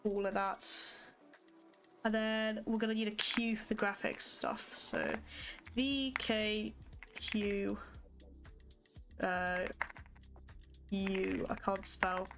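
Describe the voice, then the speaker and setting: A woman's voice: mid-range voice, very animated, slow, long pauses, music in the background. A woman whose first language is not English, casually chatting with viewers while live coding and thinking aloud with many fillers. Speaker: livestreamer coding a graphics engine.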